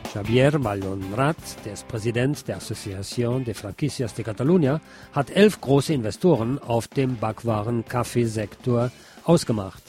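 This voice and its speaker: A man's voice: mid-range voice, normal speed, over music. A man presenting on radio, reading scripted local news and commentary.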